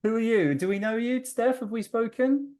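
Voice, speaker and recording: A man hosting a podcast, chatting in a friendly, rambling way with quick asides. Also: very high-pitched, darkish tone. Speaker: podcast host. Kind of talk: casual chat. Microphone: video call.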